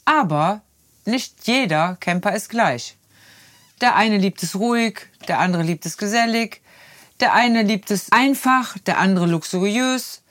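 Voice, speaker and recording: A woman's voice: medium pitch, lively intonation, measured pace, fairly bright tone, dry recording, clean studio sound. A woman of fifty with a Rhineland German accent, co-hosting a podcast and telling stories in an easygoing, chatty way. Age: 50s. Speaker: podcast co-host. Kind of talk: casual chat.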